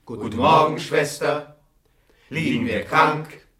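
Brash, higher-voiced man. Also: takes it slowly, crowd noise. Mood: boisterous. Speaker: radio drama actor. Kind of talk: ensemble radio play acting.

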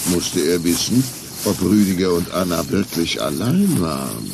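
A man narrating a children's radio play in a suspenseful, measured storytelling manner. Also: low voice, gravelly, background noise.

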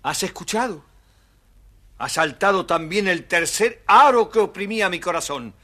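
A man's voice: high voice, lively intonation, moderate pace, bright, forceful tone, exaggerated voice. A man playing a devoted, cheerful royal coachman in a children's audio storybook, emphatic and deferential. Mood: devoted, happy.

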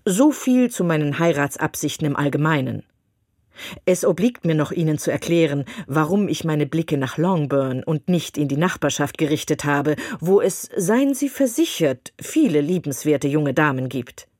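A woman's voice: low-pitched, expressive, normal speed, darkish tone, no room sound, clean studio sound. Mr Collins, a woman reading a pompous clergyman suitor. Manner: pompous, long-winded, condescending. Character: pompous self-satisfied male clergyman suitor. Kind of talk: audiobook reading of a classic novel.

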